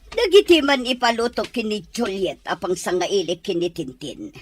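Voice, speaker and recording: A stern, scheming mother-in-law from an audio drama, played by a woman. She speaks in a measured, mid-pitched voice. Her tone is cold and calculating. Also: very animated. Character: scheming older mother-in-law plotting against daughter-in-law. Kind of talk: Ilocano radio-style drama.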